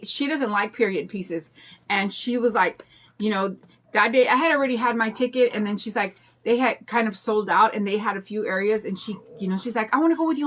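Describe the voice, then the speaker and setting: An older woman with a raspy voice and a drawl, chatting in a rambling way and greeting viewers while she demonstrates a craft on a livestream. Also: fairly high pitch, a bit bright. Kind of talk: casual chat during a craft demo.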